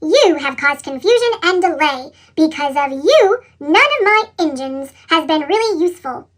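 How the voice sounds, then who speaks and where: A woman voicing a stern, formal gentleman railway boss in a children's storybook, with a sped-up chipmunk effect. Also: sits high, expressive, moderate pace.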